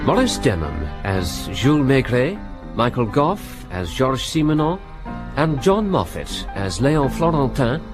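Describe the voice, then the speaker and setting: A man reading a scripted introduction and cast list as the announcer of a radio drama. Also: mid-range voice.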